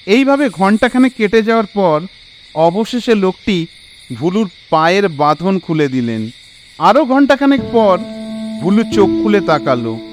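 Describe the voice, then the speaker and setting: A man narrating a scripted horror story in an expressive, suspenseful, dramatic way. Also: high voice, measured pace.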